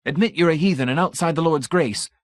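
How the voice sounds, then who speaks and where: A man's voice: a little high, fast, dry recording, studio quality, pitched above the performer's own voice, natural voice. A man voicing a smug, self-righteous male technician who lectures and preaches in a lofty tone. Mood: preachy. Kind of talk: audiobook character voice.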